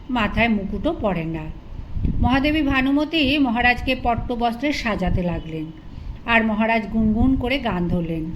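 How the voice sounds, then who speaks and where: A woman's voice: sits high, a little hiss or hum, low-quality recording. A woman reading a literary story aloud as an audiobook narrator, in a scripted narrative style.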